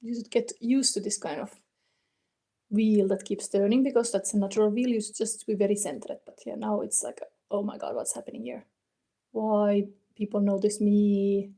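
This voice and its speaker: A woman from Finland with a Finnish accent, casually reading tarot on a live stream and chatting to viewers in halting, non-native English as she thinks aloud. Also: medium pitch, little pitch movement, dark tone. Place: warm indoor room. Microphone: phone.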